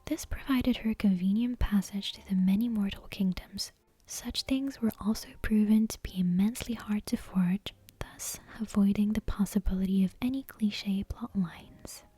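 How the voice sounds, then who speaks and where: A woman reading a bedtime story as ASMR in a soft, breathy, unvoiced whisper, with hushed and deliberate narration. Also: medium pitch. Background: soft game soundtrack music.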